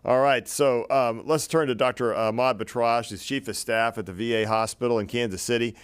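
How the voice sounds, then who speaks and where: A man's voice: medium pitch, steady tone, fairly quick, smooth. A man hosting a panel of doctors, conversational and collegial, with a folksy touch. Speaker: host, physician moderator.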